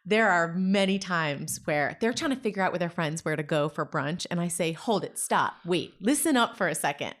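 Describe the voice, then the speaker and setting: A woman's voice: on the low side, expressive, moderate pace, hardly any pauses. A woman in her forties from New Jersey, a story salon founder, talking enthusiastically and animatedly as an interview guest. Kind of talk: casual conversation.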